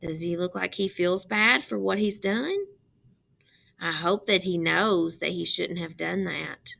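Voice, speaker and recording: Slow, bright-voiced woman reading to children. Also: fairly low pitch, vocal fry. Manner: instructive, addressing young children with questions. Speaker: teacher reading aloud. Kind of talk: children's read-aloud with explanatory asides.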